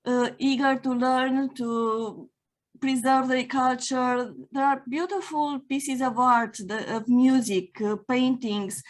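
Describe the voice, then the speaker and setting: A woman from Romania, a native Romanian speaker, talking as a guest over a video call, steady with frequent fillers. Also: on the high side. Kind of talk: casual interview talk.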